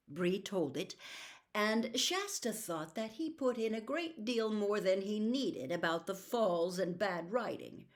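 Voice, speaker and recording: Woman narrating a storybook, takes her time, expressive, measured reading. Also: fairly low pitch. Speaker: audiobook reader.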